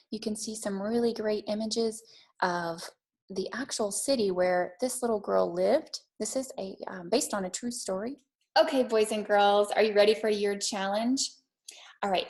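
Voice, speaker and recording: Woman, teacher, read-aloud style, child-directed, simple encouraging phrasing. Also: mid-range voice. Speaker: teacher reading aloud and setting a challenge for young children. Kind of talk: picture book read-aloud and children's lesson.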